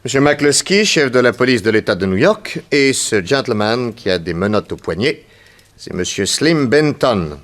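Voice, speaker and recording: An actor playing a confident, authoritative detective hero in a theatrical 1960s French radio serial. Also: male voice, medium pitch, very animated, normal speed, a bit bright. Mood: assured, explanatory. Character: confident, commanding male private detective hero.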